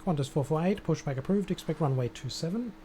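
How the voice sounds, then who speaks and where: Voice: medium pitch, lively intonation, fast talker, crowd noise. A streamer playing air traffic controller in a game, giving clipped standard instructions with casual asides. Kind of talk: gameplay stream, issuing radio-style instructions with casual asides.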